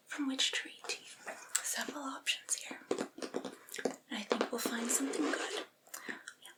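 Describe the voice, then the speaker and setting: Female performer as vampire's loyal servant familiar, ASMR roleplay: slow whisper, coaxing, soothing, a little hesitant. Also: sits high.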